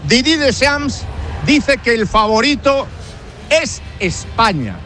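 A man with a Spanish accent reporting on football as a roving radio reporter, excitable, jokey and colloquial. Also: very high voice, takes it slowly, soft background music. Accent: Spanish (Spain). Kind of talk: live interview and banter with football fans. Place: outdoors outside a stadium, live broadcast.

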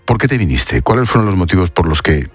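A man presenting the news in a measured, formal way and interviewing a guest live. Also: deep voice, very animated, slightly rough, faint music behind.

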